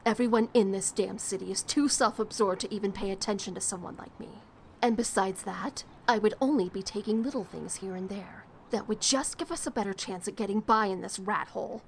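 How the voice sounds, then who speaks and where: A woman's voice: mid-range voice, fairly quick, gravelly, a little hiss or hum, lightly acted. A woman playing a streetwise, cynical, protective young woman in an audio drama. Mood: reassuring, defiant. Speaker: voice actor.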